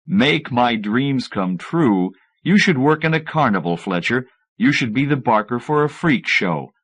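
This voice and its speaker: A man's voice: mid-range voice, expressive, a little slow, a bit bright. A man voicing a haughty, scornful male professor with a pompous, sarcastic delivery in an audiobook. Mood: mocking, indignant. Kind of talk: acted audiobook dialogue.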